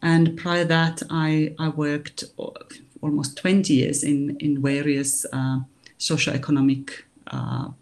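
A woman, a life coach answering interview questions, thinking aloud with pauses. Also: low voice, level tone, darkish tone. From Finland.

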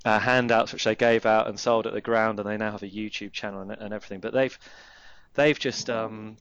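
A man's voice: on the low side, steady tone, fairly quick, a bit bright, smooth, muffled recording. A man interviewing a guest, asking long rambling questions in a chatty way. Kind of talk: casual interview.

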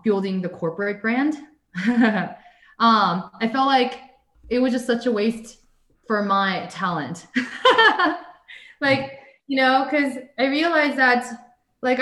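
A woman's voice: mid-range voice, fairly bright tone. Young woman, artist guest, rambling chat full of fillers, echoey room. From United States. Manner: rambling, lots of "like" and "um" fillers, laughs often. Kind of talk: casual interview chat.

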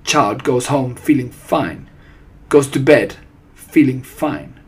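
A man's voice: medium pitch, slow, husky. A man voicing a disgusting, imperious old witch from a storybook, commanding and gloating in broken, foreign-sounding grammar. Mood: imperious, instructing. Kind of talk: storybook character voice.

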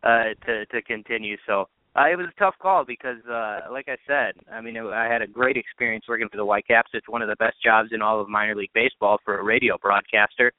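A man's voice: on the low side, fast, hardly any pauses, no echo. A man, a radio sports announcer, giving conversational interview answers as a guest over a narrow phone line.

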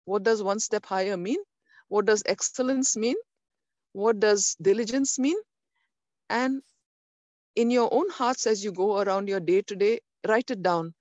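A woman delivering a prophetic message in the first person, slow and solemn, with frequent pauses and restarts. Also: medium pitch, darkish tone, no echo. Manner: slow, solemn, pausing often. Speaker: prophetic speaker. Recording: dull, muffled, dry sound.

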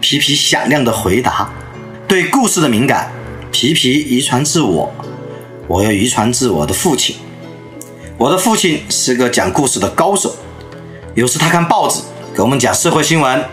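Animated male storyteller giving a lecture. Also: mid-range voice, moderate pace, faint music behind. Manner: lively storyteller who acts out anecdotes.

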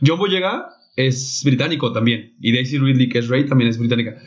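A young man with a Mexican Spanish accent, co-hosting a podcast in casual chat, blunt and full of swearing. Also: medium pitch. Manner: blunt, swears freely, quick asides. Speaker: podcast co-host. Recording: dull lo-fi podcast audio.

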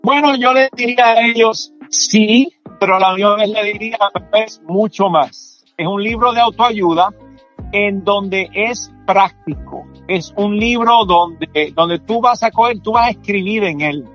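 A man's voice: high voice, a little slow, fairly bright tone, lo-fi sound, faint music behind. A man with a Puerto Rican accent whose first language is English, explaining his book at length in a casual interview and checking in with "you know?". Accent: Puerto Rican Spanish. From Puerto Rico. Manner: explains at length with many restarts and tags like "¿me entiendes?". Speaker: author and performance coach.

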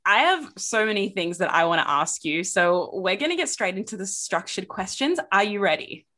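A woman's voice: mid-range voice, lively intonation, normal speed, bright, forceful tone. A woman hosting an interview, bubbly and gushing with exclamations. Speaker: podcast host. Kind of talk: casual interview chat.

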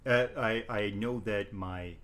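A teacher speaking in an interview, measured and thoughtful, often restarting his sentences. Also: on the low side, fairly even intonation, a bit bright, a little hiss or hum. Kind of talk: interview conversation.

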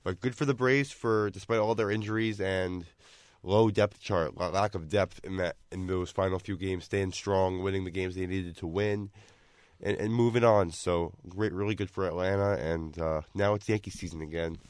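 A young man with a raspy voice, co-hosting a casual sports discussion in a measured way. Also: low voice, steady tone, no room sound, studio quality. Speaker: co-host.

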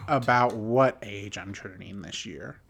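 A man's voice: lowish voice, a little slow, vocal fry, fairly bright tone. A man in his twenties, a podcast co-host, ranting casually and heatedly about his hockey team, filling his talk with "like". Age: 20s. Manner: heated, exasperated ranting with lots of "like" fillers. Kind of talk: casual sports chat.

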